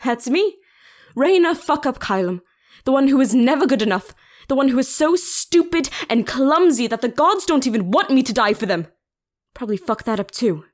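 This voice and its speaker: Woman acting a defiant, higher-voiced young princess. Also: expressive, normal speed. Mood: bitter, angry. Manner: blunt, sarcastic. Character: defiant, proud young princess, sharp-tongued. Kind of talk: audio drama acting.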